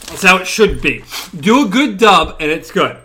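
Emphatic man with a lively voice. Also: sits high, normal speed, a little hiss or hum. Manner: emphatic, insistent opinions. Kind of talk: casual chat about anime.

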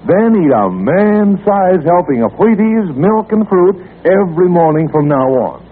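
A man's voice: high voice, big pitch swings, measured pace. A man with an American accent announcing and narrating in an emphatic, dramatic 1940s radio style. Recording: tinny, noisy 1940s radio recording.

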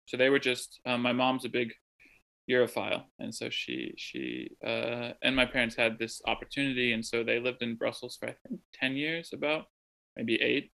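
A man's voice: mid-range voice, expressive, normal speed, gravelly, bright, forceful tone, poor audio. A young man from the American Midwest, a translator being interviewed from home, talking in a thoughtful, rambling way full of ums and restarts. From Midwest of the United States. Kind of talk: casual conversational interview. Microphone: remote call from home.

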